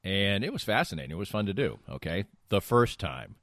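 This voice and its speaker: Middle-aged man, lowish pitch, very lively intonation, dry sound, casual rambling storyteller, emphatic, podcast banter. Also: fairly quick, dry recording. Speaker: podcast co-host.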